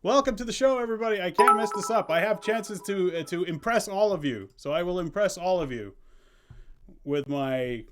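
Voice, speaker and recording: A man's voice: high voice, animated, moderate pace, fairly bright tone. A man hosting a casual game stream, chatting playfully with viewers and often interrupting himself. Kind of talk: casual live stream chat. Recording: clearly noisy background.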